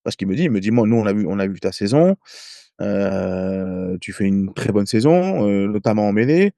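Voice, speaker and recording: A French man in his thirties, a rugby player guest, telling stories in casual podcast talk, halting with many hesitations and restarts. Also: on the low side, lively intonation, dark tone, no room sound. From France. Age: 30s. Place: home in Ireland.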